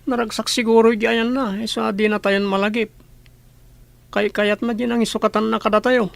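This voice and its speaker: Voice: mid-range voice, level tone, normal speed, gravelly, no room sound, close to an everyday voice. A performer playing a young, bitter and dismissive older brother in an Ilocano-accented radio drama. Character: older brother, young, bitter and resentful toward absent mother.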